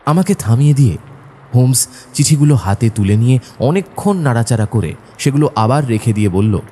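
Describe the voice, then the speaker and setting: A man playing an earnest, admiring doctor who narrates an audio drama in a measured storytelling way. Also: mid-range voice, expressive, warm tone, a little hiss or hum, natural voice. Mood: measured, attentive. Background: faint background music.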